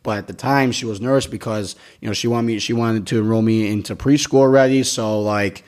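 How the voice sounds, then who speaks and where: A man's voice: lowish voice, steady tone, brisk, darkish tone. A man in his twenties hosting a casual podcast, rambling with lots of restarts and casual swearing. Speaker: podcast host.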